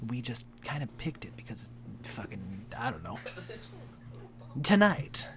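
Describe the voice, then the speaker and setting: A man's voice: mid-range voice, sing-song, a little slow, a bit bright, faint music behind. A man bantering crudely and jokingly while leading a live fan-fiction reading. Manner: crude, joking, swears a lot. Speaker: co-host. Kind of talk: casual banter.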